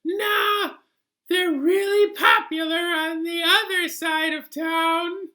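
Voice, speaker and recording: A man voicing a cheerful wife announcing dinner in a high, sing-song voice. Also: fairly even intonation, takes it slowly, pushed and bright, full character voice.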